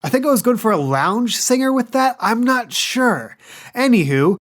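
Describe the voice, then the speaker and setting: A man's voice: extremely high pitch, sing-song, moderate pace, fairly bright tone. Laid-back game master, joking as he goes. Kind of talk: podcast host reading plugs and narrating a tabletop game.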